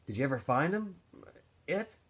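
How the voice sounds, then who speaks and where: A man's voice: a little high, sing-song, normal speed, a bit bright, pitched above the performer's own voice. A man voicing a curious, earnest and slightly unsure teenage boy in a read-aloud novel.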